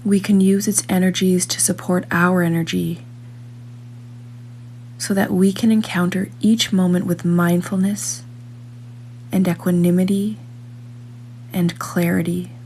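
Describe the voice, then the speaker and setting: A woman reading a scripted guided meditation in a calm, measured manner, leaving long pauses between phrases. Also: on the low side, slight background noise.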